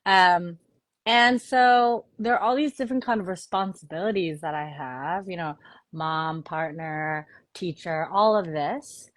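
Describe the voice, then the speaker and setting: A woman's voice: on the low side, unhurried, dry recording. Earnest woman novelist, dry close sound. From New York, United States. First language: Korean. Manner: earnest, with many "you know" fillers. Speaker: novelist guest. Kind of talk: casual interview conversation.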